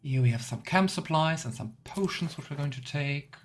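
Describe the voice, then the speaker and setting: A man's voice: on the high side, lively intonation, normal speed, slight background noise. A man hosting a let's play, chatting casually and musing aloud as he goes. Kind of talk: casual game commentary. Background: game music.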